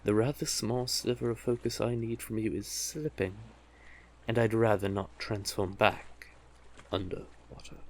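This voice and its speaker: A man's voice: on the low side, flat delivery, unhurried, darkish tone. A man playing a seductive, manipulative male sea witch in a hypnotic audio roleplay, doting and teasing in a soothing, coaxing way.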